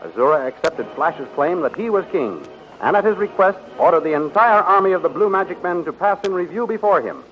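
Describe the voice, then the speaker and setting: A man narrating a 1930s radio serial as announcer, declamatory and dramatic. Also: high-pitched. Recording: dull 1930s radio recording, faint music.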